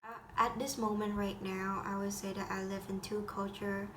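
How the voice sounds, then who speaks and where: A teenage girl from Vietnam with a Vietnamese accent, speaking English as a second language in a halting school assignment talk full of restarts. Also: medium pitch, level tone, a little hiss or hum. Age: teens. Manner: halting, with frequent restarts and grammar slips. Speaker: high school student.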